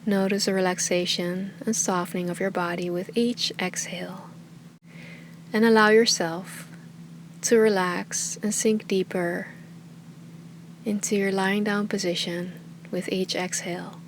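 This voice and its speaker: A woman teaching meditation with a calm, soothing, unhurried delivery that often trails into breathy softness. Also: mid-range voice, background noise. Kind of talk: guided meditation, soft slow instruction.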